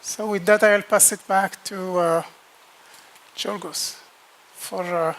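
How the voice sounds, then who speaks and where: A man's voice: high voice, steady tone, slow, a bit bright. A man giving a technical conference presentation on a hall stage, talking plainly with repeated words and fillers. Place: large dark lecture hall stage.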